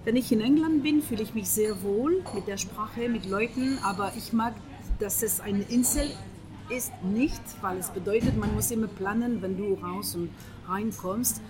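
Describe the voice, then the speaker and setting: A middle-aged woman from France speaking German with a French accent, often searching for words in a casual breakfast conversation, with many pauses and fillers. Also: medium pitch, low-quality recording. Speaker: guest. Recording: breakfast table with a group chatting in the background.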